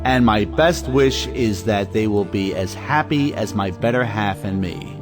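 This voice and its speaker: An actor playing a proud, self-important gentleman host in an audio play, speaking in formal, pompous oratory. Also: fairly low pitch, unhurried, music in the background, lightly acted. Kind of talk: theatrical toast-making.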